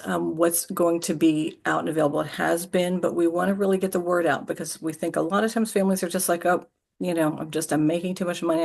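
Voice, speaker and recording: A woman's voice: sits low, creaky, darkish tone. A woman presenting in a webinar, earnest and reassuring, repeating key points for providers. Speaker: early learning coalition staff presenter.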